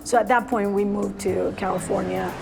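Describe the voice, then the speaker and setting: A woman's voice: medium pitch, steady tone, normal speed, vocal fry, over loud music. A woman from Argentina, a cosmetics brand founder, chatting casually about her life and work and laughing easily. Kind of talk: casual conversation.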